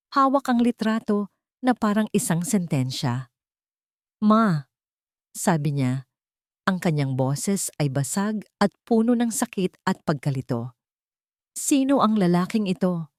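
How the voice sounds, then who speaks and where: Woman, narrator, scripted drama, solemn, low voice. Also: darkish tone, no room sound, studio quality.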